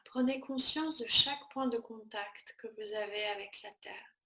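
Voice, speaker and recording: Slow, high-voiced woman guiding relaxation. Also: pushed and bright, roomy sound. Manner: soothing, measured, short phrases with pauses. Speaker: yoga nidra teacher. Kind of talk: guided relaxation meditation.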